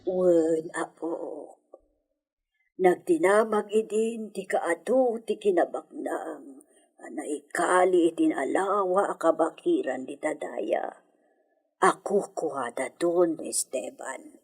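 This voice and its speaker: A woman playing an elderly, confiding grandmother in a radio drama, with an Ilocano accent and a breathy, husky, measured delivery. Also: on the low side, a little mellow, full character voice. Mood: confiding, grave.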